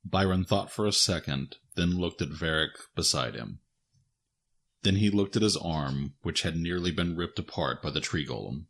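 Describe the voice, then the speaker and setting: Deep, husky man narrating fiction. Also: moderate pace, a bit bright. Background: music.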